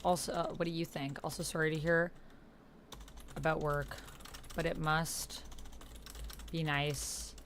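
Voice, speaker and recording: A woman's voice: deep voice, level tone, a little slow, pauses often, a little hiss or hum. A woman hosting a roleplaying game livestream, chatting informally and thinking aloud as she types. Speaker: streamer hosting a solo actual-play roleplaying game. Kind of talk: casual livestream chat, reading out typed roleplay. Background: computer keyboard typing.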